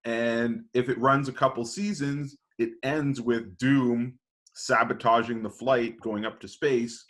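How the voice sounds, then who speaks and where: Mid-pitched man, casually thinking aloud. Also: steady tone, slow, a bit bright. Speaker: podcast panelist.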